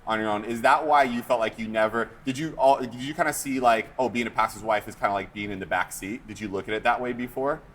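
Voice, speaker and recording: Joking, animated American man hosting. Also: mid-range voice, quick pace, few pauses, slight background noise. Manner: animated and joking, lots of fillers. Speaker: podcast host. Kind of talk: casual chat.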